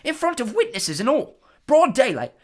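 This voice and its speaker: Brief: a young male bus conductor, high, loud, excitable cockney gossip. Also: moderate pace, heavily acted. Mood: excited gossip. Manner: loud, excitable, working-class London speech.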